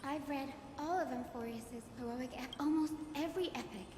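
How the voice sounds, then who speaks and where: Actress as a high-voiced gentle, earnest young woman. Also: moderate pace, noisy background, close to an everyday voice. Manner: polite, earnest, sweet. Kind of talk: dramatic video game voice acting. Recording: echoey reverb with music behind.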